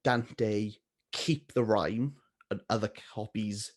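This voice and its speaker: A man hosting a casual book chat, self-mocking and quick to laugh. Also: fairly low pitch, steady tone. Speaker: book channel host.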